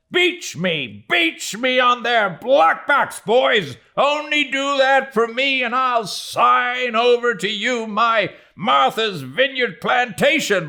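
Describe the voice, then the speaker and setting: A man voicing a small, loud whaleboat officer in an audiobook, roaring at his rowers in wild excitement. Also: extremely high pitch, creaky, pitched above the performer's own voice, heavily acted. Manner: roaring, wildly excited exhortation. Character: small, loud, frenzied whaling boat officer urging rowers. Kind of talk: dramatic audiobook character voice.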